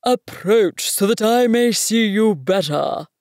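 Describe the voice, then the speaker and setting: A woman's voice: medium pitch, expressive, darkish tone, no room sound, clean studio sound, voice pushed up. A woman voicing a pompous old male king from a storybook, speaking in a grand, imperious manner of command. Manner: grand, imperious, slowly proclaiming.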